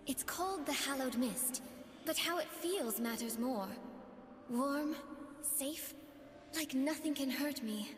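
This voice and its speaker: High, sweet living doll heroine. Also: female voice, slow pace, long reverb tail. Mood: tender wonder. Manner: sweet, sincere, determined. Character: earnest, hopeful living doll seamstress, young female fighter. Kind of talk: video game character voice lines. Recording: game clip with music behind.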